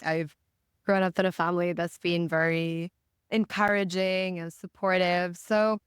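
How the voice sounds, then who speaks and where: A woman who grew up in Botswana speaks as an interview guest, her voice crisp and on the low side. She is articulate and measured, with a positive way of putting things. The sound is close and dry, with very little room in it. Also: dry recording. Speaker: podcast guest, marketing director. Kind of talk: reflective interview answers.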